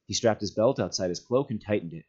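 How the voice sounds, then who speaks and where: Low-voiced man reading a fantasy story. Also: fast talker, dark tone, breathy edge, highs cut off. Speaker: volunteer community reader. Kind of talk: audiobook-style storytelling narration of a fantasy novel.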